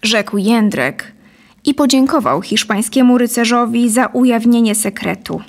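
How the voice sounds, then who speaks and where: Narrator, woman in her thirties: scripted storytelling, higher-set voice, noticeable fry, close studio mic. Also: animated, measured pace. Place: dim studio set, indoors. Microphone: close studio condenser microphone.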